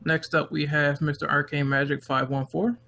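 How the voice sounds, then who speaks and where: A man's voice: a little high, flat delivery, normal speed, pushed and bright. A man hosting a casual group podcast, upbeat and introducing guests one by one. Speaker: podcast host.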